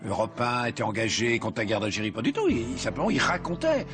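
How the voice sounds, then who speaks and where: A man's voice: mid-range voice, huge pitch swings, fast talker. Hesitant man in a documentary interview. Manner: hesitant, with fillers and restarts. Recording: music playing under the voice.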